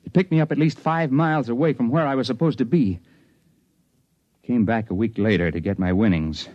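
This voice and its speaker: A man's voice: mid-range voice, a little fast, no room sound, natural voice. An actor playing a wary hired man caught up in time travel, in 1950s radio drama style. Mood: grim, tense recounting. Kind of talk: 1950s radio drama acting, first-person narration. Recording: muffled 1950s radio recording, loud music.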